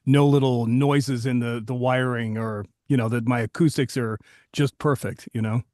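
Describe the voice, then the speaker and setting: A man's voice: mid-range voice, steady tone, normal speed, darkish tone, dry recording, clean studio sound. A man co-hosting a podcast as a voiceover coach, chatting casually and joking with candid, occasional swearing.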